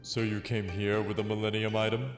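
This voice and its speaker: A man playing a confident, taunting young master of dark games in a manga audio drama, with a cool, theatrical delivery. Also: on the low side, flat delivery, fairly quick, music in the background, natural voice. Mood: cool, guarded. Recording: echoey room effect.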